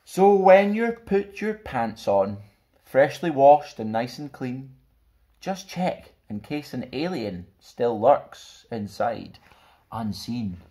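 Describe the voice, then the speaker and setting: Young man, children's storytime host, very lively pitch swings, medium voice, expressive delivery. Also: takes it slowly. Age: young adult. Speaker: storytime host reading a picture book. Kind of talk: expressive children's read-aloud with friendly presenting. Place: small plain indoor room.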